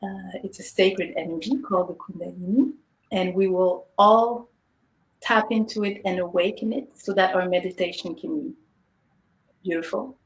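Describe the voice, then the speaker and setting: A woman's voice: on the low side, moderate pace. A woman from Canada co-hosting an online meditation session, explaining the plan in a friendly way with frequent ums. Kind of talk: warm welcoming introduction. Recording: roomy echoey sound.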